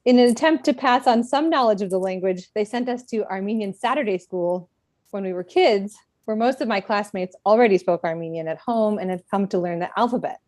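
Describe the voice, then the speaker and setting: A woman in middle age, reading a prepared personal talk at a book event in a reflective, measured way with gentle self-deprecation. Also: mid-range voice, no echo, studio quality. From United States.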